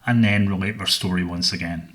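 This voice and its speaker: A middle-aged Scottish man hosting a solo podcast, speaking in a measured, earnest way about his interests. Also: low voice, fairly even intonation, a bit bright. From Scotland. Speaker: podcast host.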